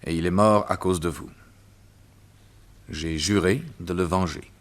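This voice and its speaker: A deep-voiced vengeful doctor. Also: male voice, fairly even intonation, measured pace. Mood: menacing. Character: cold vengeful doctor villain, mocking. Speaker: radio drama actor. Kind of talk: 1960s French radio drama acting.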